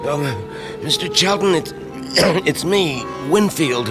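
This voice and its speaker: A man's voice: on the high side, lively intonation, slow pace. Shifty young man: ingratiating stammerer with a cough, old radio drama over music. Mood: nervous, deferential. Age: young adult. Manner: hesitant, stammering, coaxing.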